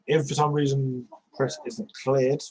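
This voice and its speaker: Husky young man on headset. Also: mid-range voice, level tone, measured pace, darkish tone, rough recording. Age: 20s. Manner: rambling, many restarts and fillers. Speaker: podcast host. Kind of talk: casual video-call chat. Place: small bedroom. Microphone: headset on video call.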